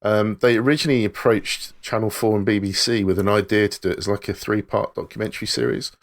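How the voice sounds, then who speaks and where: A man with a fairly low voice chats casually as a podcast co-host. He recommends things with easygoing enthusiasm. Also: fairly even intonation, fast talker.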